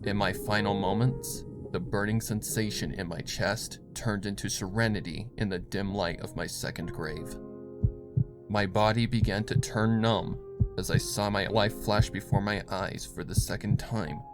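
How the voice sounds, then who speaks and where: A man reading dramatic scripted horror fiction as narrator, in a solemn, deliberate manner. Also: lowish voice, fairly even intonation. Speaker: story narrator. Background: music.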